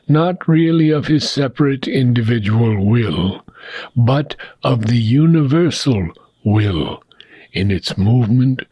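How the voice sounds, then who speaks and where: A man reading a book of spiritual philosophy aloud in a solemn, deliberate manner, pausing often between phrases. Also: medium pitch, darkish tone, husky, no room sound. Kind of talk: scripted audiobook reading.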